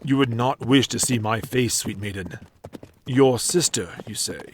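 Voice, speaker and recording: Guy doing a brooding medieval knight, courtly old-timey talk, mid pitch, bit of background noise. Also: measured pace, close to an everyday voice. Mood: melancholy. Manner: formal, archaic, chivalrous. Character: gallant, brooding medieval undead knight, grown man. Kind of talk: comic dub acting.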